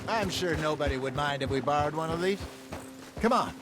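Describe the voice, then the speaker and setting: An actor playing a quick-witted old outlaw with an American accent and a knowing, gently mocking manner in a video game. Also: male voice, on the high side, over loud music, natural voice. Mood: knowing, easygoing. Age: older. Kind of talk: video game cutscene acting.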